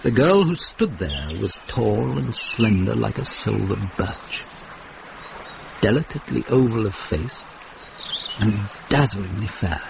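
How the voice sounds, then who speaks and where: An actor as the grave, lyrical narrator of a medieval mystery radio drama, reading in a poetic, deliberate manner. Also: male voice, on the low side, expressive, creaky, exaggerated voice. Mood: lyrical, wistful. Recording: noisy vintage radio drama recording.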